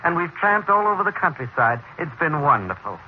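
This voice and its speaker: A man's voice: fairly high pitch, big pitch swings, brisk. Husband, male: stubborn, trusting, emphatic 1950s radio acting, muffled and lightly noisy recording. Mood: cheerful, affectionate.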